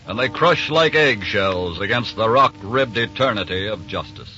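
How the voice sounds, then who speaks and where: Male American narrator, lowish and forceful, grave dramatic delivery for old-time radio crime series. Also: lively intonation, normal speed, soft background music. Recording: muffled old radio recording.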